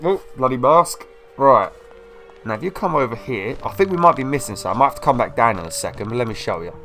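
A young man with a slight cold, casually commentating a video game walkthrough in an excitable, chatty way. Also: mid-range voice, fast talker, faint music behind. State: slightly ill, sniffly. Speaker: gameplay video host. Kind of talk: casual let's-play commentary.

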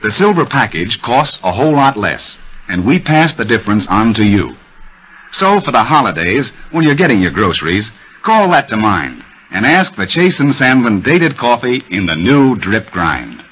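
A man's voice: mid-range voice, big pitch swings, normal speed, fairly bright tone, a little hiss or hum, poor audio. An American man announcing a 1930s radio commercial in a folksy, persuasive sales delivery on an old broadcast recording. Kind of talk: 1930s radio advertising pitch.

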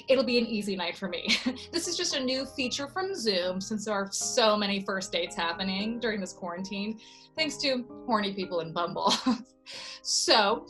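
A woman's voice: medium pitch, a bit bright, lightly acted. A woman playing a perky, breezily intrusive waitress in a video-call comedy sketch, with chirpy customer-service politeness. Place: indoors, in front of a curtain, on a video call.

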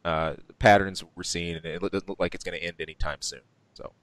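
A man's voice: sits low, steady tone, fairly quick, fairly bright tone, no echo. A man co-hosting a podcast, chatting casually about movie news with lots of ums, restarts and laughs. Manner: rambling, many ums and restarts, laughs often. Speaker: podcast co-host.

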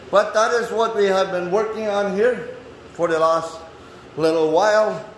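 A man from Canada chatting casually to camera as he shows his workspace, in a halting, folksy way with non-standard phrasing. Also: on the high side. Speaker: vlogger and handyman host. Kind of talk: casual walk-through chat to camera. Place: indoors in a man cave workshop. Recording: echoey room with light background noise.

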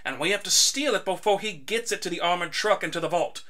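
A man's voice: sits high, expressive, quick pace, pushed and bright. An actor playing an earnest, easily exasperated young man who plans a magical heist in an audio drama. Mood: earnest briefing.

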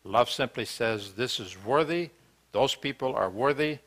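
Older man, church preacher, bright tone, earnest questions, halting fillers, sanctuary. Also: medium pitch. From United States. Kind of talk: sermon.

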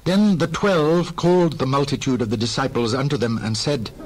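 A man narrating dramatized scripture in a formal, expressive storytelling manner. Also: mid-range voice, normal speed, rough recording.

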